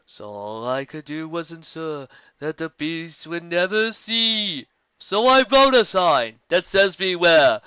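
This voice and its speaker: A man voicing a solemn female zebra who tells a tale in slow sung rhyme. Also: on the high side, pushed and bright, clean tone, dry recording, voice pushed up, full character voice. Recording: dull lo-fi audio.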